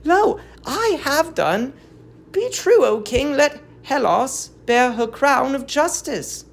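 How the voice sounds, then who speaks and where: An aged queen of Greek tragedy, as a woman acts her out, grieving and accusing. Her speech is slow and declamatory, with some creak, and she pleads with impassioned bitterness. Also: high voice, lively intonation, pitched above the performer's own voice, exaggerated voice. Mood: vengeful appeal.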